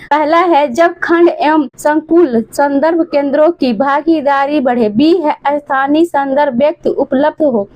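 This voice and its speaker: Teacher, female, hoarse, high pitch, brisk answer-by-answer exam review. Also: darkish tone, highs cut off. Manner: addresses students fondly, reads questions then answers.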